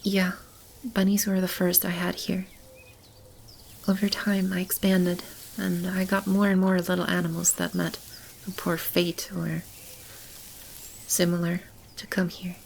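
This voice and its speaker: A woman plays a nurturing female keeper of a magical animal shelter in a soothing ASMR roleplay. Her voice is slightly low and crackles heavily with fry as she talks softly to a child. There is faint background noise behind her. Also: level tone, unhurried, pauses often. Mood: calm, wistful. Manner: soft, soothing, chuckling, speaking to a child.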